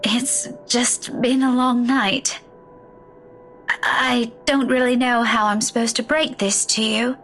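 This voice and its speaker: An actress in a story game playing a weary, distraught woman who speaks haltingly, with sighs. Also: on the high side, fairly even intonation, fairly bright tone, a little hiss or hum. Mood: grave, weary. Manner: halting, sighing, faltering speech. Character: weary, distraught woman keeping hospital vigil over loved one. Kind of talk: dramatic game dialogue.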